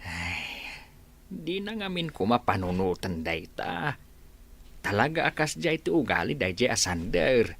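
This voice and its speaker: A man playing a concerned, grumbling middle-aged village father with a gravelly voice in a radio drama. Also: mid-range voice, very animated, measured pace, a bit bright, full character voice. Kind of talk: Ilocano radio drama acting.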